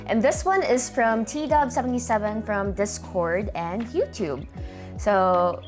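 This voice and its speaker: A woman's voice: medium pitch, unhurried, soft background music. A woman with a Filipino accent, hosting and chatting casually as she looks over viewers' setups. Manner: chatty, upbeat, thinks aloud. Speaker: host reviewing viewer gaming setups. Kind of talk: casual commentary.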